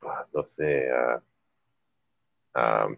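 Male host, native English speaker, learner's Spanish: very deep, gravelly, slow, hesitant, lots of fillers. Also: fairly bright tone, no echo, muffled recording. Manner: halting, searching for words, many fillers. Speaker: podcast host. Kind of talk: casual chat, learner's Spanish.

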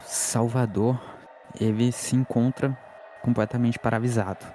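Flat-toned man, suspenseful narration. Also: medium pitch, a little slow, soft background music. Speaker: tabletop game player. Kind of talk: dramatic narration of a character.

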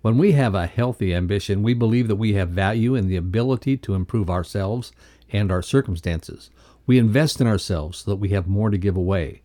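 A man co-presenting a motivational podcast lesson, reading scripted text aloud in a measured, instructional way. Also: on the low side, dark tone.

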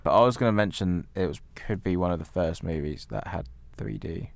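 A man's voice: sits low, brisk, vocal fry, no echo. A young man co-hosting a podcast in casual, conversational film discussion. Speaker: podcast co-host.

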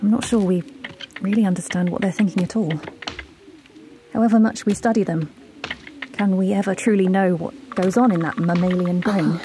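A woman playing a thoughtful, conciliatory bird in an audio drama, speaking with deliberate hesitation. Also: medium pitch, fairly even intonation, noisy background, lightly acted. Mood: earnest, cautious. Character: measured, conciliatory, thoughtful female bird, a voice of caution.

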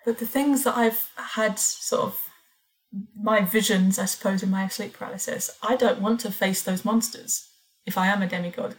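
A woman hosting a podcast, telling rambling stories in a relaxed way with dry teasing humour. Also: medium pitch, moderate pace, low-quality recording. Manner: storytelling, dry teasing, laughs mid-sentence. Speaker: podcast host. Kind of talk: casual chat.

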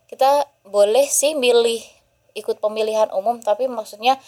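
A woman radio announcer with a Javanese accent, chatting in a relaxed way and laughing often. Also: fairly high pitch, moderate pace. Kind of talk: casual radio chat.

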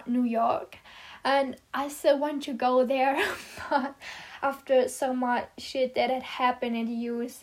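A fifteen-year-old girl whose first language is German, chatting casually about herself with lots of fillers and giggles. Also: high-pitched. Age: teens. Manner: giggly, rambling, many fillers. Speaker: vlogger answering questions about herself. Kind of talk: casual chat.

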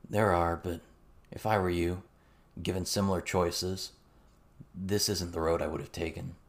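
Man playing a grave, deep-voiced weary soldier. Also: little pitch movement. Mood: cautioning. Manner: measured, matter-of-fact. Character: calm, weary male soldier, measured and grave.

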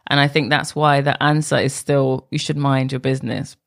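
A woman's voice: extremely low pitch, steady tone, moderate pace, creaky. A woman hosting her own podcast, talking alone in a candid, conversational way that sometimes drifts off track. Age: adult. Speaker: podcast host. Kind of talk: solo podcast monologue.

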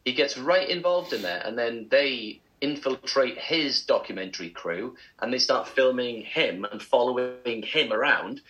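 A man's voice: medium pitch, animated, unhurried, hardly any pauses, bright, forceful tone, highs cut off. A man co-hosting a podcast, chatty and agreeable, recounting documentaries he has seen. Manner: chatty, agreeable, laughs while telling stories. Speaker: co-host. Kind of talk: casual podcast chat.

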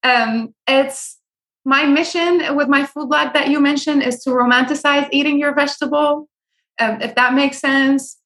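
A young woman from Syria speaks in a high, lifted voice. She gives earnest, practical advice as an interview guest, with frequent ums and small restarts. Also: steady tone, a little slow, fairly bright tone. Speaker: guest, food blogger. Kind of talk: conversational interview.

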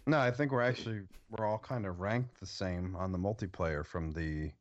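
A man co-hosting a casual podcast chat, rambling through his story with pauses and restarts. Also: deep voice, dull audio. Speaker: podcast co-host.

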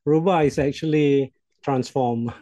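An older man in his sixties from Malaysia, a native Malay speaker, talks in a measured, halting way full of fillers and restarts. His voice is mellow and dark. It is recorded dry and close, with no room sound. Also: fairly high pitch, fairly even intonation, no echo. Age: 60s. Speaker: executive coach. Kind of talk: interview guest, reflective storytelling.

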